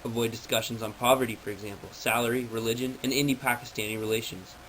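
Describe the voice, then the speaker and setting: Male presenter, average pitch, formal scripted explainer read, amateur audio with noticeable background noise. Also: steady tone, quick pace, fairly bright tone, poor audio. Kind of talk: prepared informational presentation, read out.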